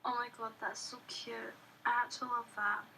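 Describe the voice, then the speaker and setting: Woman, Irish accent, voice message, very bright tone, flat intonation, gushing. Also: on the high side, normal speed, a little hiss or hum, low-quality recording. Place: voice message played back on a phone.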